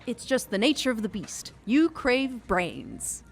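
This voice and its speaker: Woman playing a breezy, animated witch, high-pitched. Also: a little slow, gravelly, exaggerated voice. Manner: breezy, chatty, theatrical. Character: breezy, excitable, inept female witch guide. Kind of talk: audio drama acting.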